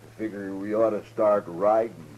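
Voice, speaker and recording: An actor playing a menacing, lecherous outlaw in a 1950s radio western, halting and muttering as he talks. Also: fairly low pitch, steady tone, muffled recording, natural voice. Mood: sly, idle. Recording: noisy 1950s radio recording with hum.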